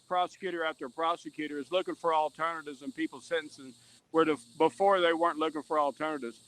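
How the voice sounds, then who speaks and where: A man's voice: fairly high pitch, pushed and bright, poor audio. A man speaking earnestly as a podcast guest and mentor, with emphatic, repetitive, streetwise phrasing. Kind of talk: earnest personal testimony in conversation.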